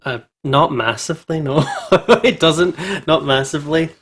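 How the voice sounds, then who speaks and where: Husky man doing game commentary. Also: a little high, normal speed, fairly bright tone. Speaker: let's play host. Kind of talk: game commentary and reading text aloud.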